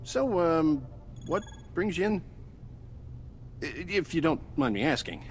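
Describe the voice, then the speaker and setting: A man's voice: a little high, lively intonation, a little slow, faint music behind. A man playing a nervous, deferential security guard in a video game, hesitant and apologetic. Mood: nervous politeness.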